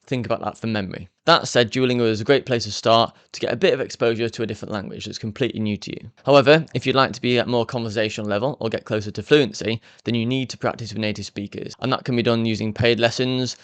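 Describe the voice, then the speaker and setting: A man, hosting a language learning channel and explaining step by step in a brisk, upbeat way. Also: medium pitch, fairly even intonation, no room sound. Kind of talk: explainer talking to camera.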